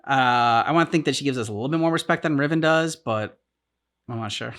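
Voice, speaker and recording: A man's voice: mid-range voice, fast talker, fairly bright tone. Man rambling casually, thinking aloud. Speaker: reaction show host. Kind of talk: casual discussion of video game story.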